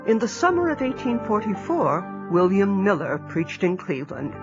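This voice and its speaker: A narrator reads a historical story in even, measured tones. The audio is dull and lo-fi. Clear background music plays throughout. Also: mid-range voice.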